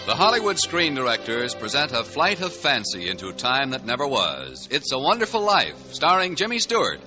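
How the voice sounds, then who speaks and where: A man's voice: medium pitch, huge pitch swings, moderate pace. An American man announcing a 1940s radio show in a formal, grand, declamatory scripted style. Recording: dull 1940s radio, music behind.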